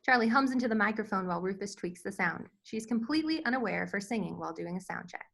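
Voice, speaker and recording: A woman's voice: medium pitch, lively intonation, quick pace, vocal fry, a bit bright. A woman narrating scripted stage directions at a screenplay table read.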